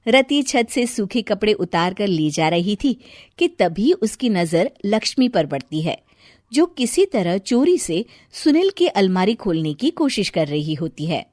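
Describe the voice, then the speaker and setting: Animated woman storyteller, dry studio sound. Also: mid-range voice, unhurried, no echo. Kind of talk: scripted story narration, expressive.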